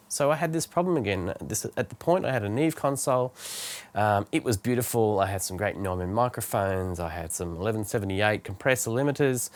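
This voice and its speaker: A middle-aged man with a fairly low voice talks through his subject as a producer would. He is conversational and reflective, thinking aloud as he explains things informally to the viewer. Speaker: music producer presenting a video. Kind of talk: informal explanatory monologue to camera.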